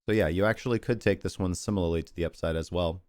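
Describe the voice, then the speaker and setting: A man's voice: low voice, fast, darkish tone, clean tone, dry recording, studio quality. A man hosting a crypto livestream, chatty and rambling as he talks through charts and thanks viewers, losing his voice. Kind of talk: casual market analysis and sign-off.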